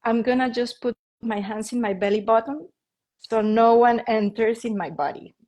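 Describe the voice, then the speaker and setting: A woman's voice: on the high side, normal speed, a little mellow. A woman in her fifties from Colombia, with a Colombian Spanish accent, telling her life story in a casual interview with many "like" fillers. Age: 50s. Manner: rambling, with frequent "like" fillers and light laughs. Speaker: interview guest, feng shui and Reiki practitioner.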